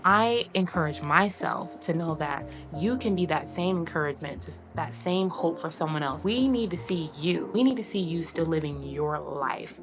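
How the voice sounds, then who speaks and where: Rambling young woman over music. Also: sits low. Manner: rambling, many fillers and restarts, earnest encouraging. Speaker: vlogger. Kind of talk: casual chat while getting ready. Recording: music playing underneath.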